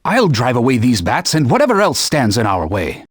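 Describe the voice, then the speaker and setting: An actor playing a stern, proud talking sword who guides a hero with formal, old-fashioned speech in a video game. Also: male voice, mid-range voice, huge pitch swings, moderate pace, no echo, clean studio sound. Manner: formal, stern, archaic phrasing. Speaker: sword companion giving gameplay hints. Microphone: dry studio booth.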